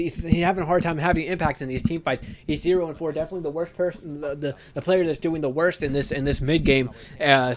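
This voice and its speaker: A young man with a raspy voice, casting an esports match play-by-play by himself, often restarting his sentences and getting louder when the fights break out. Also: on the high side, a little hiss or hum. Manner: frequent restarts and stumbles, rising to excited shouting in fights. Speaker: esports caster. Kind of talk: solo play-by-play shoutcast.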